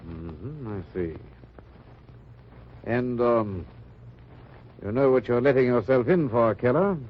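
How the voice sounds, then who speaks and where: A husky, measured army colonel. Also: male voice, medium pitch. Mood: stern. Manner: measured, hesitant with ums. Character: gruff seasoned male intelligence colonel. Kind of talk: 1940s radio drama acting. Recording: muffled old radio recording.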